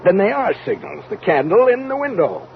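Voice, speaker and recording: A man's voice: high-pitched, very animated, brisk, gravelly, full character voice. A man acting a blunt, wisecracking army major and rocket pilot in an old-time radio drama, speaking informally and plainly. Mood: puzzled, tense. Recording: muffled, noisy old radio recording.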